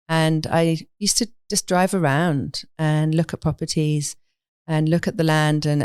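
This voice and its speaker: A woman from London with a London English accent, talking thoughtfully and in a measured way as an interview guest. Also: low-pitched, a little mellow, clear, resonant tone, no echo, clean studio sound. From London, England. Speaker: podcast guest, animal sanctuary owner. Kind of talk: reflective interview conversation.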